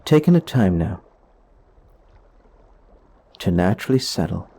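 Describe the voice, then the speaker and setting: A man leading a guided meditation in a soothing, gentle, explanatory way, leaving pauses between phrases. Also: low-pitched, big pitch swings.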